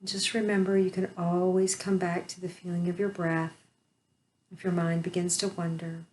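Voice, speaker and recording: A woman leading a guided meditation, speaking softly and soothingly with long pauses. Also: lowish voice, level tone, poor audio.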